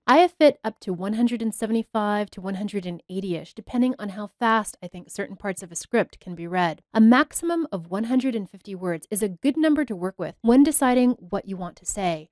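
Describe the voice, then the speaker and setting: A woman's voice: mid-range voice, a little mellow, dry recording. Woman narrating voicemail tips, dry and close. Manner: clear, measured, professional delivery. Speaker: voice-over artist explaining voicemail tips. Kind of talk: scripted instructional narration.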